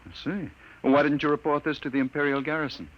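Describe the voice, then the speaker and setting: A man's voice: fairly high pitch, fairly even intonation, fast, natural voice. A man acting an earnest, skeptical off-world archaeologist in a 1950s radio drama. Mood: probing. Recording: noisy muffled old radio broadcast.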